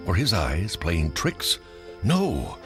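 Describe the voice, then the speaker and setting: A man's voice: low voice, wildly swooping pitch, slow. Male storybook narrator over music. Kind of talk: scripted children's read-along story narration.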